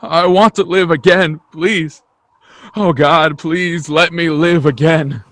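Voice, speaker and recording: A man's voice: a little high, fairly even intonation, slow, a bit bright, slight background noise. An actor playing an emotional, fervent family man in an old-time radio drama, stammering and exclaiming sincerely. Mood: desperate pleading.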